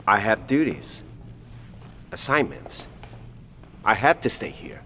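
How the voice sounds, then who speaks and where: An actor playing a nervous, wary scientist in an audio drama, speaking in hesitant, precise, educated phrases. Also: male voice, on the low side, very animated, slow, bright, forceful tone, slight background noise, heavily acted. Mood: anxious, subdued. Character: nervous blind male scientist, formal and wary, stranded alone. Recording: long echoey reverb of an empty hall.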